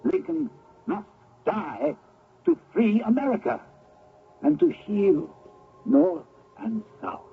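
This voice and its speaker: An actor playing a theatrical, fanatical conspirator who declaims grandly like a stage tragedian in an old-time radio drama. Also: male voice, very high voice, huge pitch swings, slow, long pauses, soft background music, exaggerated voice. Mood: fervent, declamatory. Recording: dull old radio recording with clear noise.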